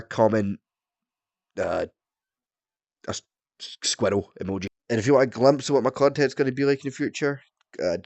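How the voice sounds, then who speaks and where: A young man from Scotland with a partly softened Scottish accent, sick and a bit raspy, ranting casually about a game, swearing often and filling with "like". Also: fairly low pitch, no room sound. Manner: swears often, heavy filler "like", rambling. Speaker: gaming YouTuber.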